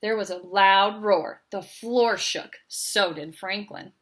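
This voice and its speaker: A woman narrates a children's picture book aloud. Her voice is bright and forward, at an average pitch for a woman, in the style of a read-aloud storyteller. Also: takes it slowly, slight background noise.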